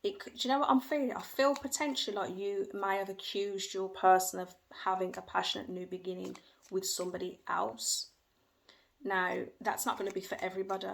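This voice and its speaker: A woman's voice: medium pitch, fairly even intonation, fairly quick, vocal fry. A woman giving a tarot reading at home, who hedges, repeats herself and goes by what she feels, with her children faintly heard in the background. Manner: hedging, repeats phrases, speaks by feeling. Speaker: tarot reader. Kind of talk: tarot card reading for viewers. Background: faint noise of her young children.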